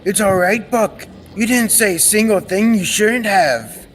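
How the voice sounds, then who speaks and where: A young man playing a fussy, excitable inventor in an old-time radio serial. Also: sits high, measured pace. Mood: pleased, assured. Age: young adult. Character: excitable male scientist and inventor proud of his machine. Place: school stage production. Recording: echoey hall with background noise.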